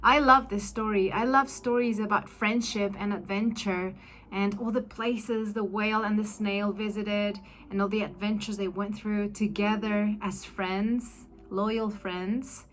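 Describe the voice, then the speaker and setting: A woman reading aloud to young children in an expressive, deliberate storytelling manner. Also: mid-range voice, slight background noise, rough recording. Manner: deliberate, addressing young listeners with questions. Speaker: storybook reader and language assistant. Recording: background music track. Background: instrumental music backing.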